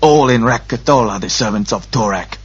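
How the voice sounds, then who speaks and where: A man's voice: mid-range voice, moderate pace, breathy edge, highs cut off, lightly acted. Man voicing a harsh, commanding temple priest. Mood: suspicious, demanding.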